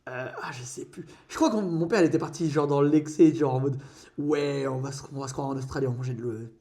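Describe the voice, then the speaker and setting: A young man co-hosting a podcast, telling a story in a halting way with many fillers and pauses. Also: mid-range voice, mellow. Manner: hesitant, filler-heavy storytelling. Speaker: podcast co-host. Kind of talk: casual chat while painting.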